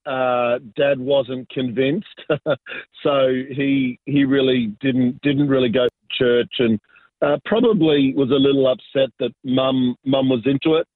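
A man's voice: mid-range voice, dry recording. Man in his forties, guest telling his life story, hesitant and reflective, dry close sound squeezed down like a phone line. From Argentina, raised in Sydney. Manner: reflective, halting, many fillers and restarts. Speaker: guest, business pastor. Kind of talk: casual interview storytelling.

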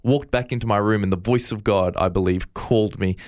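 A man's voice: on the low side, fairly quick, darkish tone. A man with an Australian accent, a guest in an interview, earnestly recounting his faith story with frequent stammered restarts. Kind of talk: interview, personal testimony.

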